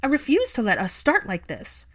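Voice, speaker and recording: An impassioned young woman from an audiobook, played by a female reader, pitched well up and forceful in delivery. She is fiercely loving, defiant and wounded, and leans hard on her words. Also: huge pitch swings, moderate pace, exaggerated voice. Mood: angry, resolute. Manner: emphatic, impassioned.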